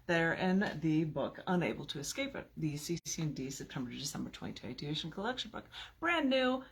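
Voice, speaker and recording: A woman's voice: sits low, breathy edge. A woman reading her own poetry aloud in an informal, theatrical and emphatic way, with playful, chatty asides.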